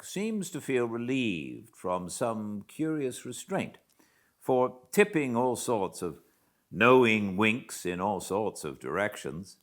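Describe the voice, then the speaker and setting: British male audiobook reader, low and unhurried, theatrical literary delivery with weighty pauses. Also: a bit bright. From Britain. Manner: theatrical, deliberate phrasing with dramatic pauses. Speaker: audiobook narrator. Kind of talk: expressive literary audiobook narration.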